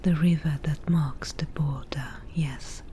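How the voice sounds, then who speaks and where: A wry forest witch, played by a woman, whispers softly and very slowly, low and breathy. She is a dryly teasing potion brewer who drives a bargain in an ASMR fantasy roleplay. Her tone is mellow, with faint noise behind it. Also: fairly even intonation. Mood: calm, wry. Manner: slow, unhurried, dryly teasing.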